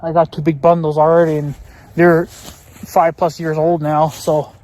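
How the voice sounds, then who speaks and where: A man's voice: on the high side, flat delivery, a little slow, darkish tone, a little hiss or hum. An American man talking in a rambling, casual vlog style, sounding resigned and grumbling, with some swearing. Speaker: vlogger.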